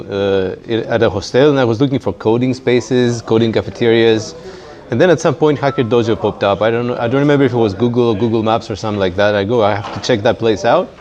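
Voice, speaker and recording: A man's voice: lowish voice, darkish tone. A man from Greece with a Greek accent talks casually as a guest in a chat, with a wry, self-deprecating manner. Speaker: guest, startup founder. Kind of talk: casual fireside chat interview. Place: community tech workspace, live event. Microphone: handheld microphone. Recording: dull, muffled sound with background noise.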